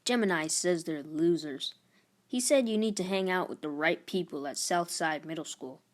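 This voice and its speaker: A proud middle-school boy, acted out by a woman in a storybook. He talks casually but defiantly, hungry for status and quick to dismiss others. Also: lowish voice, natural voice. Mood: dismissive.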